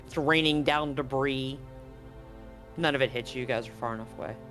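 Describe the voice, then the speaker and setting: A man's voice: mid-range voice, a little fast. A man narrating and refereeing a tabletop roleplaying game as game master, in a conversational, improvised way. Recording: live stream with music underneath. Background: music.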